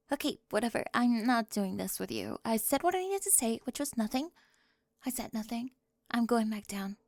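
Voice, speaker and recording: A young woman voicing a sharp-tongued cheerleader bully for an ASMR roleplay, sarcastic and defensive, often dropping to a whisper close to the mic. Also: on the high side, no echo. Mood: snippy.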